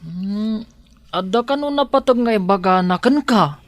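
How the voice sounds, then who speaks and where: A man's voice: high-pitched, lively intonation, normal speed, dry recording. A man with an Ilocano accent playing a loyal, protective household helper in an audio drama, speaking deferentially to his employer. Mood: cautious, concerned.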